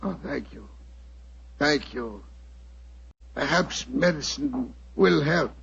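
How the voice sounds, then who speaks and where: Weary, courteous Indian maharaja, husky, halting English, 1940s radio play on a muffled recording. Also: male voice, a little high, expressive, fairly bright tone, full character voice. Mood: grateful, tired. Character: ailing, gentle Indian maharaja, anxious and courteous. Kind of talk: 1940s radio drama acting.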